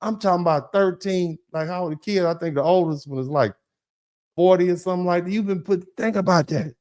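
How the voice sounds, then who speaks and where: A man, a lawyer hosting a casual livestream, rambling and chatty, talking straight to his viewers and swinging up high when excited. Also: fairly quick. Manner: rambling and chatty, with big excited jumps up in pitch; laughs often, addresses the chat directly.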